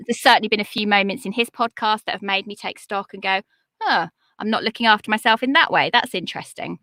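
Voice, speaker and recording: Energetic woman guest with a bright, high voice. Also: fast, dry recording. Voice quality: high-pitched. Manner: energetic, upbeat and encouraging. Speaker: podcast coach guest. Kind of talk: casual interview chat.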